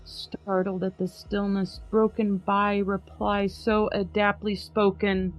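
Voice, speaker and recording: Slow-reading woman, dramatic poem. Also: medium pitch, little pitch movement. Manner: measured, alternating lines with a co-reader. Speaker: podcast host. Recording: slightly muffled with faint music.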